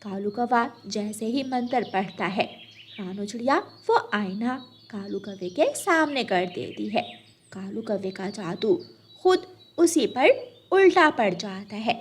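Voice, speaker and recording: A woman's voice: medium pitch, expressive, measured pace, slight background noise. A woman narrating a scripted children's cartoon story as the storyteller.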